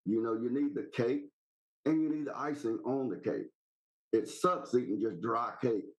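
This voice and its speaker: A Southern-accented man who calls in to a podcast and holds forth in a casual, rambling way. His voice sits at an ordinary middle pitch. He talks bluntly, in plain folksy country phrases. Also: moderate pace, muffled recording. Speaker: podcast caller. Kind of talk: casual opinionated chat.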